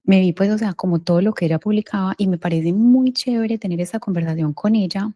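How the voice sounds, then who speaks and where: A woman's voice: mid-range voice, a little fast, mellow. A Colombian woman hosting a podcast interview in an informal, effusive, conversational way.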